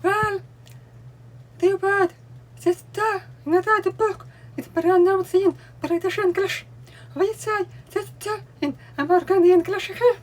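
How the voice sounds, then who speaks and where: A woman with a Russian accent, a native Russian speaker, explaining at home in a deliberate way while she signs along. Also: very high voice. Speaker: language learning vlogger. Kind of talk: explaining while showing books. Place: home room with bookcase, indoors.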